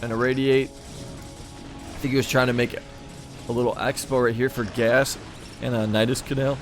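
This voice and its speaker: A man commentating a live strategy game match, talking in a relaxed, meandering way and filling gaps with ums and uhs. His voice sits at an ordinary middle pitch and is starting to go. Faint music plays underneath. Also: moderate pace. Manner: easygoing, thinking aloud with fillers. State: losing his voice. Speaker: game caster.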